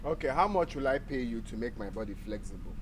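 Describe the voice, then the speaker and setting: A man's voice: mid-range voice, lively intonation, quick pace, a little hiss or hum, lightly acted. A man with a Nigerian accent acting a clumsy, aggrieved dance pupil who argues back and repeats himself, in a comedy drama. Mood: protesting. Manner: stammering repetition when worked up. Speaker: actor.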